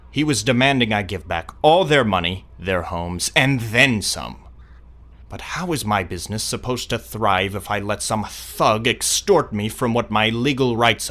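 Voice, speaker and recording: A man playing an arrogant, defensive wealthy landlord in an audio drama, quick to take offence. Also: on the low side, fairly bright tone. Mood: self-justifying. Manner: haughty, defensive, self-justifying. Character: arrogant, indignant male wealthy businessman, slippery landlord.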